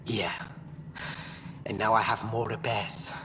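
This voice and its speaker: A man playing a wary, halting station technician in a video game. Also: on the low side, little pitch movement. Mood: weary. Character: wary male station technician, paranoid about sabotage. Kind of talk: video game character dialogue. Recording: reverberant hall with noisy ambience.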